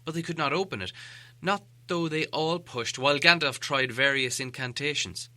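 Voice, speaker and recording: A man narrating an audiobook tale in an expressive, scripted storytelling style. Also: fairly high pitch, normal speed.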